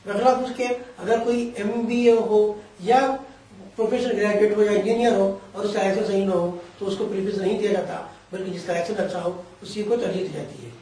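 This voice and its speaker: A man's voice: high-pitched, fairly even intonation, a little fast, mellow, distant mic. Man explaining at length in an echoey room. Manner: long explanatory sentences, Urdu-flavoured Hindi with English terms. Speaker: career guidance expert. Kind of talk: explanatory interview answers.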